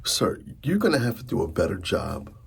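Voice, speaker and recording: A man's voice: low voice, big pitch swings, normal speed, creaky, slightly rough. A middle-aged man in an ASMR roleplay as a dentist, talking informally and teasingly to the listener as his patient. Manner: informal, hesitant, teasing chairside patter. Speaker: ASMR performer playing a dentist.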